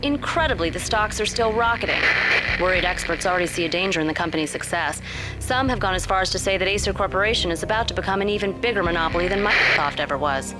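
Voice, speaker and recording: Actress as polished female news reporter, game bulletin. Rapid, crisp, professional, over music. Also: fairly low pitch, expressive, lightly acted, rough recording. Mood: brisk, urgent. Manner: crisp, professional newsreader delivery.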